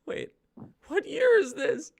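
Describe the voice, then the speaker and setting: A woman's voice: extremely high pitch, very animated, takes it slowly, creaky, darkish tone, no echo, pitched above the performer's own voice, exaggerated voice. Woman doing an indignant, wildly swooping young hostage. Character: embarrassed, indignant young adult held hostage on game show. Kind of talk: comedy sketch table read.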